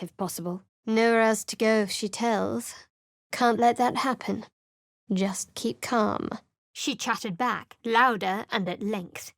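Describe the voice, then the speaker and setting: An actress voicing a guarded, sardonic young woman cleric of a dark goddess in a video game, speaking in a cool, dry and wary way. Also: on the high side, no echo. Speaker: game companion character.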